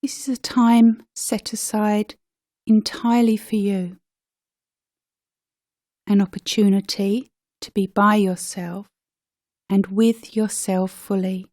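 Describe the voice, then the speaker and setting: A woman leading a guided meditation as a teacher, speaking gently and unhurriedly with pauses between short phrases. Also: mid-range voice, level tone, dry recording, studio quality.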